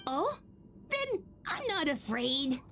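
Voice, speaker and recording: A woman's voice: sits high, very animated, takes it slowly, bright, forceful tone, slight background noise, full character voice. A woman voicing a small, innocent young dragon in a video game, earnest and childlike, anxious yet eager. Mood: anxious, hopeful. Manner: earnest, wide-eyed. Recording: music behind the voice.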